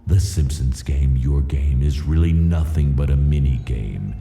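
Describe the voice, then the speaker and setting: An actor playing a slacker gamer God in a cartoon game, self-absorbed and defensive, with casual, whiny talk. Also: male voice, rumbling low, measured pace, mellow. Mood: smug, explaining. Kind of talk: video game cartoon cutscene acting. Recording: long cavernous reverb, music behind.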